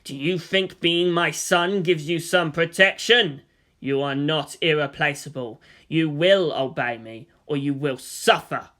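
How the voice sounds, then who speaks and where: A man voicing a cold, menacing middle-aged commander and father in a story, contemptuous and deliberate as he threatens. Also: fairly high pitch, sharp tone.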